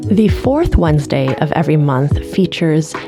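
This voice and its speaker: A woman hosting a live interview show, reading the intro in a clear, scripted way. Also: low voice, sing-song, moderate pace, music in the background. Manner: clear, read-aloud delivery. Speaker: host, lecturer.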